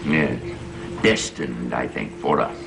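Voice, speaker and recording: An actor playing a sinister, scheming cult man in a gothic soap opera, with measured theatrical speech. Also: extremely low pitch, creaky, noisy background, full character voice. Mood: sly, assured. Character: scheming male cult member plotting with a coven.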